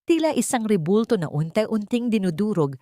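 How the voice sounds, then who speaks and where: A woman's voice: mid-range voice, very animated, moderate pace, mellow, no room sound, studio quality. A woman with a Filipino accent reads a scripted dramatic audio story as the storyteller.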